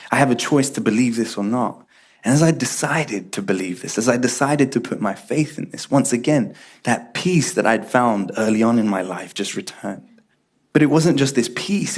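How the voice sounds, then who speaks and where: A man's voice: mid-range voice, a little mellow, husky. A man, giving an earnest, reflective personal faith testimony. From Ethiopia and UK. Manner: reflective, earnest, builds in intensity. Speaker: testimony speaker.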